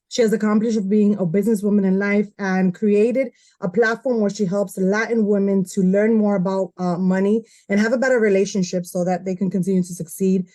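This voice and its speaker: A woman hosts a casual podcast chat, introducing her guest. She talks in a loose, chatty way, fills pauses with ums, and giggles often. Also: mid-range voice, darkish tone. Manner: chatty, giggly, with filler words. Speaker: podcast host.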